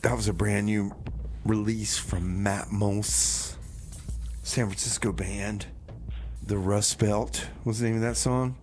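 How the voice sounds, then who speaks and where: A man's voice: lowish voice, steady tone, takes it slowly. A man hosting a music radio show in a casual style, with comic banter.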